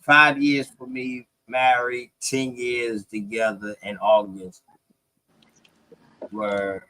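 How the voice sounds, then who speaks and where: A man's voice: medium pitch, little pitch movement, slow pace, bright, forceful tone, dry recording, rough recording. A man hosting a casual live panel chat, slangy and jokey, teasing and often repeating himself. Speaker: host of an open live panel.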